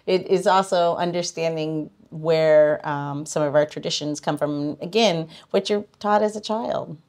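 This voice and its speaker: A woman's voice: low voice, unhurried, a little mellow. A woman hosting a podcast in a casual, conversational way. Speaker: podcast host.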